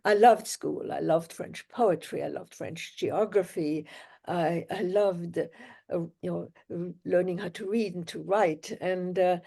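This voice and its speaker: An older woman from Germany speaking as an interview guest. Her voice is mellow and warm, and she recalls her memories slowly and with care, pausing now and then. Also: lowish voice, steady tone, gravelly. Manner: reflective, measured, with pauses. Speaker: guest, Holocaust survivor. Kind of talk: interview storytelling.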